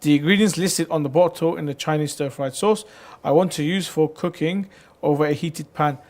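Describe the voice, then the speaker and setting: A man presenting a live religious Q&A show, reading viewers' messages aloud in a lively way and sometimes tripping over words. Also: a little high, moderate pace. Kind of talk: live call-in Q&A hosting.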